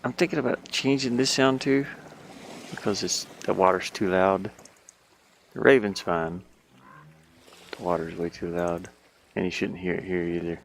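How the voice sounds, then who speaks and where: A man's voice: low voice, steady tone, moderate pace, pauses often, slight background noise. A man working as an indie game developer, thinking aloud casually and muttering to himself in short fragments.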